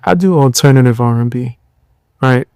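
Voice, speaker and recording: A tired young man hosting a podcast, giving blunt, mocking commentary in loose slang with a low voice. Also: normal speed, a little mellow, clear, resonant tone, no echo, clean studio sound. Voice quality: lower voice. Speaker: podcast host. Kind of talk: casual commentary.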